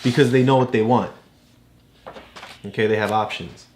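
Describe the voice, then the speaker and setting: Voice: medium pitch, steady tone, moderate pace, a little mellow, slight background noise. An adult patiently explaining things to a child in a casual read-along lesson, often checking for understanding. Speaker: parent tutor explaining a book to a child. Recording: echoey room.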